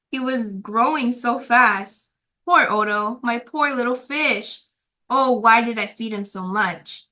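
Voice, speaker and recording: A woman's voice: a little high, sharp tone. A female guest reader reading a children's storybook aloud in a simple, careful storytelling way.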